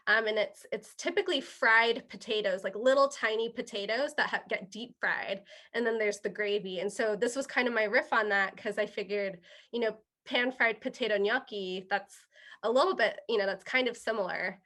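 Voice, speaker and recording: A woman's voice: medium pitch, sharp tone. A young woman, a guest chef, talking casually and patiently about her dishes, with many small fillers and restarts. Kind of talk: casual interview, explaining dishes.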